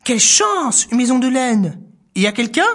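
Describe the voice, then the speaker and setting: A woman voicing a small excited female mouse in a children's story, with a husky, eager voice. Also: fairly high pitch, normal speed, pitched above the performer's own voice. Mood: delighted. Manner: eager, chirpy, welcoming.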